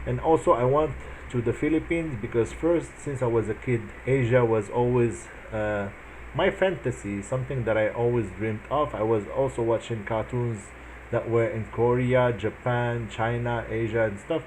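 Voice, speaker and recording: A man's voice: mid-range voice, normal speed, darkish tone, a little hiss or hum, rough recording. A man in his forties from Morocco, earnestly telling in a casual chat how he met his wife, with many restarts and fillers. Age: 40s. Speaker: vlogger answering his wife's questions.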